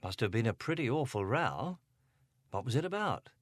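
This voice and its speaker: A man reading aloud, voicing a careful, gentle male detective who speaks slowly and soothingly. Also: medium pitch, big pitch swings, dry recording, clean studio sound.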